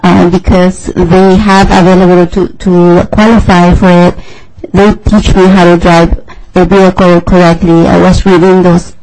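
Gravelly-voiced woman, careful English. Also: lowish voice, little pitch movement, unhurried, a little mellow, rough recording. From Mexico. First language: Mazahua. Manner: careful, searching for words in a third language. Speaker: testifier at a public hearing. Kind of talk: public testimony. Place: legislative committee hearing room.

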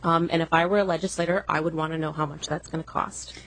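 A woman giving prepared public testimony at a hearing, making her argument in a formal, measured manner. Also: deep voice, fairly even intonation, a bit bright, slight background noise.

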